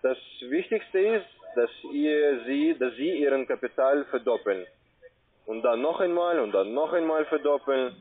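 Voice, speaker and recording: A tinny telephone line carries a man's medium-pitched voice. He is a non-native German speaker working as a sales agent. His pitch is persuasive but halting, circling back over the same phrases. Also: lively intonation. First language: not German. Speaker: call-centre sales agent. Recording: tinny, band-limited phone line.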